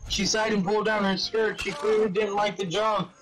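A man doing a mocking dramatic reading of fan fiction, halting and stumbling over words, with casual sweary asides. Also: sits high, level tone, over music, poor audio.

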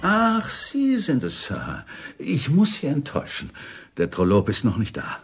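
An actor playing a deferential, chatty old bookseller in a radio drama, obliging and servile. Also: male voice, mid-range voice, huge pitch swings, a little slow, creaky, background noise, full character voice.

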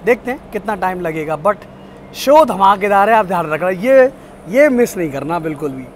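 A young man with a fairly high voice, talking eagerly and straight to his viewers. He films himself on a phone held at arm's length. Faint railway noise sits in the background. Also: moderate pace. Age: young adult. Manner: excited, chatty, addresses viewers directly. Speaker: train travel vlogger. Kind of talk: casual travel vlog. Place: train carriage and outdoor station platform.

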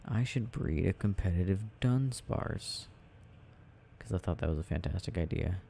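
A man playing a sleepy, tender boyfriend in a soft ASMR roleplay, murmuring to himself as he games and sometimes dropping into a whisper. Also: low voice, animated, normal speed, vocal fry, slight background noise. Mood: musing. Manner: murmuring, dropping into whispers, hesitant self-talk. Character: sleepy, tender, sensitive boyfriend rambling while gaming. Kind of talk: soft intimate ASMR roleplay, unhurried rambling.

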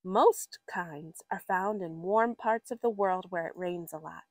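A woman reading a children's nature picture book aloud with expressive, drawn-out emphasis for young listeners. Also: fairly low pitch, dry recording. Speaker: story reader for children.

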